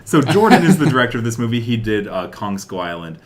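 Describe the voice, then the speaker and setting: A man's voice: mid-range voice, very animated, quick pace. A young man co-hosting a podcast, chatting casually about games and films in an enthusiastic, rambling way with hesitant phrasing. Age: young adult. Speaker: podcast co-host.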